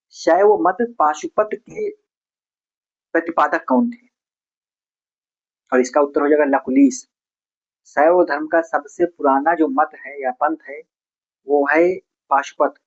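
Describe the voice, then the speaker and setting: A man's voice: fairly high pitch, big pitch swings, unhurried, pauses often, dull audio. A man teaches an exam lesson in question-and-answer form, explaining each answer in a didactic way. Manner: didactic, explanatory, addresses listeners as friends. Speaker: history teacher for exam preparation.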